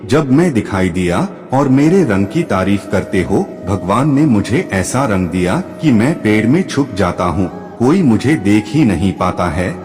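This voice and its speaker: A man's voice: fairly low pitch, sing-song, unhurried, few pauses, darkish tone. Man voicing a parrot, indignant, wary, storybook, echoey room, faint music.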